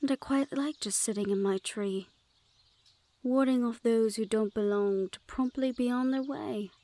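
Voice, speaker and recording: A woman's voice: fairly high pitch, unhurried, warm tone, close to an everyday voice. A woman playing a wary, teasing Fae border guard in a fantasy audio roleplay, with a playful, sardonic and haughty manner. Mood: wry, aloof.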